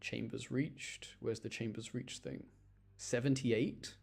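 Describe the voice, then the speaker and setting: A man's voice: medium pitch, fairly even intonation, takes it slowly, vocal fry, darkish tone. A man giving casual gaming commentary on a livestream, thinking aloud in an informal way. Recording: game music behind the voice. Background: video game music.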